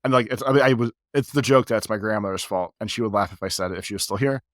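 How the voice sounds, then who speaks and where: Male podcast co-host, low voice, stuffy with a cold, rambling and filler-heavy, dry close mic. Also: racing, a bit bright, no echo. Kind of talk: casual chat.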